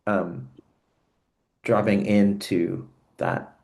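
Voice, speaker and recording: A man with a very deep voice hosts a thoughtful conversation over a video call. His talk is earnest and searching, often pausing and restarting as he works toward what he means. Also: level tone, vocal fry, darkish tone. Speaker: host. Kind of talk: reflective conversation.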